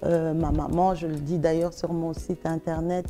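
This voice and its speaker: A woman's voice: sits low, little pitch movement, moderate pace, warm tone, faint music behind. A middle-aged woman answering interview questions conversationally, hesitating and repeating words as she searches for phrasing. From Belgium, of West African family. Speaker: interview guest, perfume shop owner.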